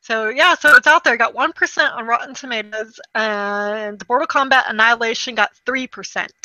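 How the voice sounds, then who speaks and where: Very bright-voiced woman chatting informally. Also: a little high, normal speed, no echo, lo-fi sound. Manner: informal, conversational, opinionated. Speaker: podcast co-host. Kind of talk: casual chat and commentary.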